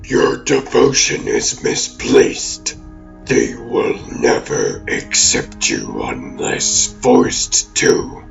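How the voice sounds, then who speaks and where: A man's voice: wildly swooping pitch, lo-fi sound, soft background music, heavily acted. A man voicing a menacing exiled alien demon warlord in a comic dub, grandiose and vengeful, with a growling, pompous delivery. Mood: coldly persuasive. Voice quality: very deep, gravelly growl. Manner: pompous, slow theatrical villain speech.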